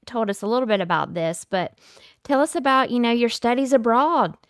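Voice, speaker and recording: Woman host, smooth voice, dry close sound, chatty, lots of "you know". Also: on the high side, brisk, a bit bright, no echo. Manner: conversational, leans on "you know". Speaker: podcast host. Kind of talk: casual interview talk.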